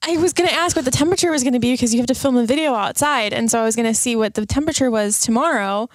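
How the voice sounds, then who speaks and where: Lady podcast cohost, high voice, giggly casual banter, super dry sound. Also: very fast, no echo, rough recording. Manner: giggly, self-deprecating. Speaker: podcast co-host.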